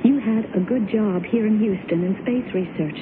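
An actress playing an insistent, hurt wife, pointed and sardonic, in a radio drama. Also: female voice, mid-range voice, normal speed, natural voice. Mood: indignant, firm. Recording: noisy, tinny old radio broadcast recording.

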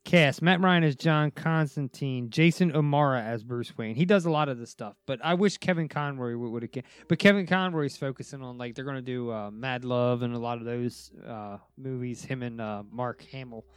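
A woman's voice: a bass voice, normal speed, hardly any pauses, clean tone, no room sound, studio quality. A woman co-hosting a casual podcast, blunt and teasing as she chats. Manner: blunt, teasing, talks through the show's editing. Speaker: podcast co-host. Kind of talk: casual chat.

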